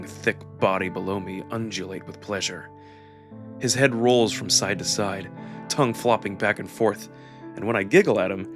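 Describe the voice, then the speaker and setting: A man with a low voice narrates a visual novel in the first person. His storytelling is intimate and expressive, with music playing underneath.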